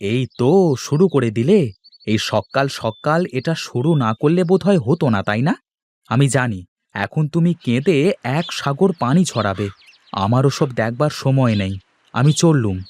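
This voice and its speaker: A man's voice: medium pitch, expressive, moderate pace, a little mellow, close to an everyday voice. A man playing a hardworking village farmer and father in a cartoon moral story, easily exasperated and grumbling at his family. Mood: sarcastic, exasperated.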